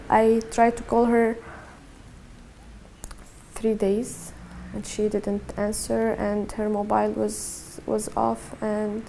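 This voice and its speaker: A young woman, her voice mellow and touched with vocal fry. She talks in a flat, halting way, restarting phrases as she recounts her own story to camera. A faint hiss of noise sits under the recording. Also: mid-range voice. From Syria. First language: Arabic. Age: early 20s. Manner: halting, soft-spoken, many restarts and fillers. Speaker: activist telling her story. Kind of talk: personal testimony to camera.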